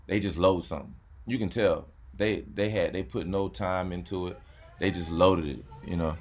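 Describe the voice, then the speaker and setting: A man's voice: low voice, steady tone, moderate pace, darkish tone. A man hosting a car channel, chatting casually, repeating words and saying "man" often. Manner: laid-back, repeats words, says "man" a lot. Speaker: YouTube car channel host. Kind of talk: casual chat.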